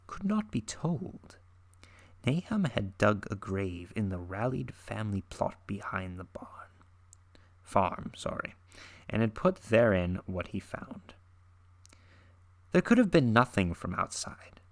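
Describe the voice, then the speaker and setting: Man, lowish voice, dramatic horror story reading, theatrical swings in volume and pace, occasional self-corrections. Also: creaky. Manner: theatrical, shifting loudness and pace for suspense. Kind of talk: dramatic read-through of classic horror fiction.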